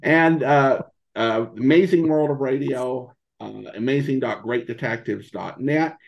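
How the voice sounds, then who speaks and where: A man chatting casually as a podcast interview guest, speaking haltingly with repeated false starts. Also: mid-range voice, creaky, muffled recording.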